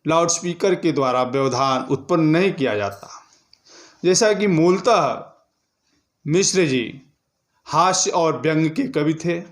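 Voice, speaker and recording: A man from India, presenting and reciting poetry in a formal, deliberate, measured way. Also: a little high.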